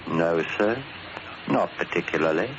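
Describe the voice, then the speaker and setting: Deep-voiced manservant, noisy radio. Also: male voice, animated. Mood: guarded. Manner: polite, formal, measured, bookish diction. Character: deferential, quietly menacing male manservant, precise and patient. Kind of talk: 1940s radio acting. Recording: muffled old radio recording, heavy noise.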